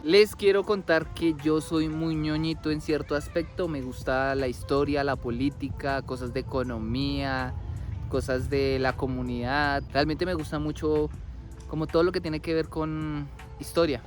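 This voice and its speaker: A young Colombian man with a slightly high voice chats to camera in a rambling, easygoing way, often stopping to correct himself. He is recorded outdoors in a city, with soft music playing underneath. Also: level tone, moderate pace. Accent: Colombian Spanish. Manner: chatty, rambling, self-correcting. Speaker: vlogger. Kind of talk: casual talk to camera.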